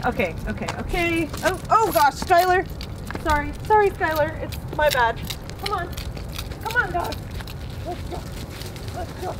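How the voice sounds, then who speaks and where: A woman's voice: high voice, background noise. A woman playing an eager, easily flustered dog walker who chatters and exclaims in a naturalistic comedy-drama style.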